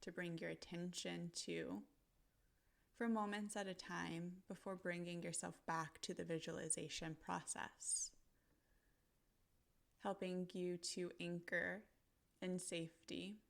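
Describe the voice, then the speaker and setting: A woman narrating a guided visualization in a gentle, soothing manner, pausing deliberately between short phrases. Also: lowish voice, level tone, gravelly.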